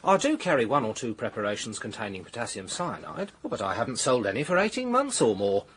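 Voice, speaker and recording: An actor playing a nervous, eager-to-please British chemist in a radio drama, with a flustered, hesitant manner. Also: male voice, mid-range voice, big pitch swings, fairly quick, a bit bright, husky. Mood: obliging. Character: fussy, eager small-town male chemist, deferential and nervous. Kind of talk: detective radio drama acting.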